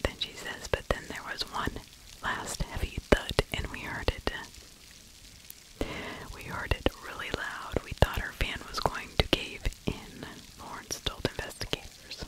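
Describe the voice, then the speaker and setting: A middle-aged woman whispering true crime ASMR close to the microphone, breathy, with opinionated conversational asides. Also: unhurried, rough recording. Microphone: close microphone with furry windscreen.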